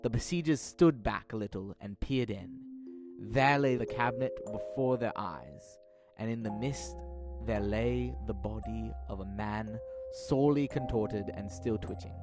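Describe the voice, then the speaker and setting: A man's voice: fairly low pitch, measured pace, music in the background. A young man reading scripted dramatic narration of a classic tale, as narrator of an audio drama. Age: young adult.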